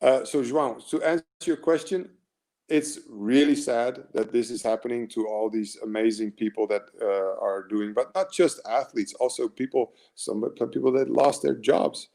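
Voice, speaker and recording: A man's voice: mid-range voice, normal speed, creaky, warm tone. A man in his forties with an American accent, giving an earnest, rambling motivational talk as a mental performance coach, full of fillers and restarts.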